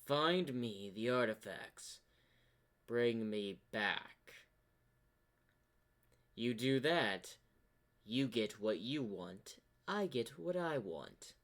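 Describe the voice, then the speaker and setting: A man voicing a sinister, domineering dark spirit in a fan-made fantasy story, curt and scheming. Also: medium pitch, expressive, very slow, pauses often, heavily acted. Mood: commanding.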